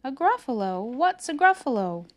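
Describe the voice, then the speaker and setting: Woman putting on a high-pitched hooting storybook owl. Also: very animated. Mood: puzzled. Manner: hooting, drawn-out, exclamatory. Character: silly hooting owl, flighty and easily scared. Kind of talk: children's storybook character voice.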